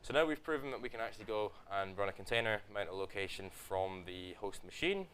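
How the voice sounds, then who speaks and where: A man's voice: on the low side, a little fast, slight background noise. A young man presenting a hands-on tech workshop to a live audience, talking through each step with fillers. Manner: steady step-by-step explaining with frequent fillers. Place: office event room with live audience.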